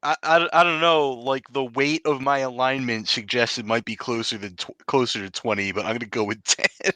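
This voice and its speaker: A man's voice: mid-range voice, steady tone, moderate pace, bright, forceful tone, no echo. A man playing a tabletop game, talking casually in a sardonic, exasperated way with plenty of swearing.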